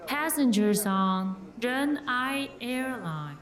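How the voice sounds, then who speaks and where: An actress playing a formal airport gate announcer, making a boarding call in a radio drama. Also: female voice, fairly high pitch, expressive, very slow. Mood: formal, measured. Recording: echoey public-address announcement.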